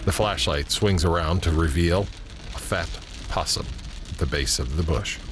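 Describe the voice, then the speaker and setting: A man narrating a scripted audio drama in a measured, descriptive way. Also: deep voice. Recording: background ambience and noise.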